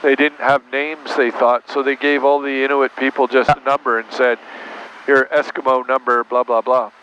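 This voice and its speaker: A man's voice: medium pitch, normal speed. A man, an airline captain, chatting casually in the cockpit in a plain-spoken, explanatory way. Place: small turboprop aircraft cockpit in flight. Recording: dull muffled audio, steady aircraft engine noise. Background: aircraft engine drone.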